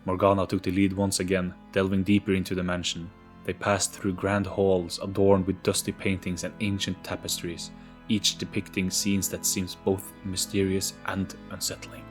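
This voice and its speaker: A man reading a scripted, dramatic story in an ominous, measured storytelling manner. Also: low-pitched. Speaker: audio story narrator.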